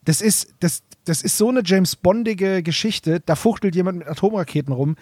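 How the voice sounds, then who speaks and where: A man with a somewhat high voice chats as a podcast co-host. The talk is informal and conversational. The sound is very dry, with no room echo. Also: normal speed, a little mellow. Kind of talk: casual podcast chat.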